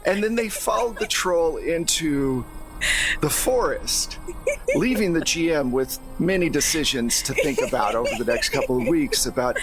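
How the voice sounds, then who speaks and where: A man's voice: on the high side, expressive, moderate pace, over music, low-quality recording. A man speaking as game master and host, casually recapping a roleplaying story with playful sarcasm.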